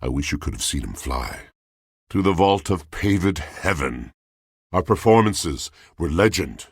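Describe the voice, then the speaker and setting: An extremely deep-voiced, wounded romantic from a video game, speaking slowly in grandiose, florid verse. The voice is gravelly and husky, solemn and theatrical throughout. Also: male voice, sing-song, no echo, studio quality, exaggerated voice. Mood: wistful, grandiose. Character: brooding, lovelorn poetic washing machine, grandiose and wounded. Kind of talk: video game character acting.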